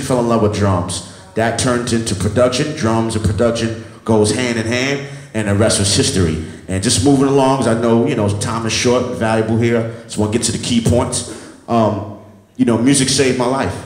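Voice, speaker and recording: A man in his thirties, a music producer, telling his life story to a live audience with a repetitive, motivational cadence. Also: lowish voice. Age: 30s. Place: event hall with an audience. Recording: echoey room.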